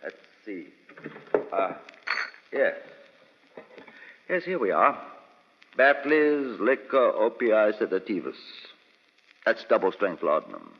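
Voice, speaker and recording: Blustering doctor, animated, reverberant. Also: male voice, on the low side, slow, pauses often, husky, full character voice. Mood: fussy explaining. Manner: hesitant, blustering, indignant. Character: blustering, evasive male doctor, guilty villain. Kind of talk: old-time radio drama acting. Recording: dull old radio recording, long reverb.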